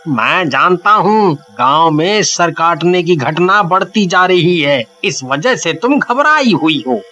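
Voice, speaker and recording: A man's voice: a little high, sharp tone, a little hiss or hum, heavily acted. A man voicing a sceptical village husband in a cartoon, dismissive but soothing.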